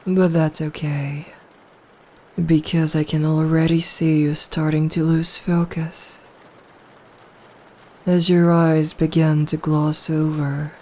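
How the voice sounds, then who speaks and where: A woman playing a seductive, possessive female vampire in an intimate ASMR roleplay, speaking softly and breathily in a hushed, teasing, coaxing way. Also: sits low, flat delivery, slow pace, pauses often. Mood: hypnotic, coaxing.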